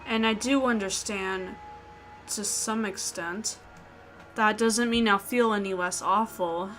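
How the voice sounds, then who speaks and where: A woman's voice: medium pitch, steady tone, takes it slowly, a bit bright, faint music behind. A woman hosting a gaming video, reading out a visual novel's narration with casual asides.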